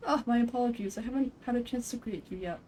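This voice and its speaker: A woman voicing a courteous, weary older male village chief in a game, speaking in a measured, formal way. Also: a little high, a little mellow, slight background noise, close to an everyday voice. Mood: apologetic.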